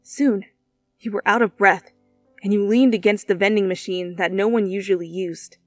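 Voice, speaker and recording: A woman reading a story aloud as narrator, with expressive second-person narration. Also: medium pitch, moderate pace, no room sound. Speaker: narrator, fan fiction reader. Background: background music.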